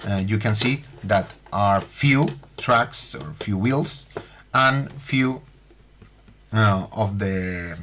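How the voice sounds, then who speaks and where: Spanish-accented male hobby host, low-pitched, slow and halting delivery. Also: fairly bright tone. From Spain. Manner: halting, many fillers and restarts. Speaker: scale model hobby host. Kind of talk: unboxing tutorial.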